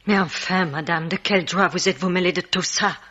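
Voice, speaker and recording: An actress playing a proud, jealous bereaved woman in a radio mystery, curt, haughty and breathy. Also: fairly low pitch, brisk, a bit bright. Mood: indignant, uneasy. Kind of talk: French radio mystery drama acting. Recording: dull vintage-style radio recording.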